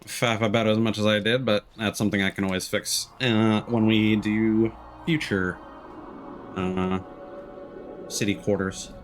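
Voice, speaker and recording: A man's voice: fairly low pitch, flat delivery, a little slow. A man giving casual let's play commentary as a game streamer, with wry joking asides. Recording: game music underneath the voice. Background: video game music.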